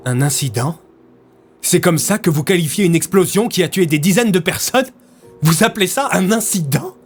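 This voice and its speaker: A man's voice: high-pitched, lively intonation, normal speed, soft background music. Man as a sardonic, blunt police inspector. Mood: indignant. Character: sarcastic, distrustful, blunt male police inspector. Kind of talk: French audio fiction acting.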